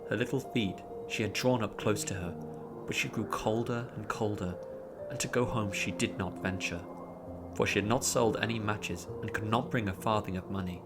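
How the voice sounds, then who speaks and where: A man narrating a story in a dramatic, expressive audiobook style, drawing out words theatrically. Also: fairly low pitch, moderate pace. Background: music behind the voice.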